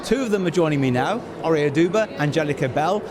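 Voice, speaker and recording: A man's voice: a little high, animated, fast talker, crowd noise. A man hosting a podcast, presenting and interviewing in an upbeat way backstage at a busy venue. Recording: noisy busy backstage location.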